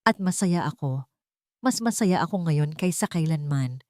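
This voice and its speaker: A woman's voice: low-pitched, lively intonation, normal speed, a little mellow. A devoted man in love speaks tenderly and earnestly in a romance audiobook, read by a woman narrator. The words come as sincere declarations to a sweetheart. The sound is dry, without room echo. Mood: tender, reflective. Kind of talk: dialogue inside a narrated romance story.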